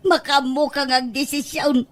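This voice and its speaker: A woman's voice: high-pitched, fairly even intonation, measured pace. A woman acting in an Ilocano audio drama as a proud, grudging middle-aged mother who grumbles and contradicts. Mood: resentful.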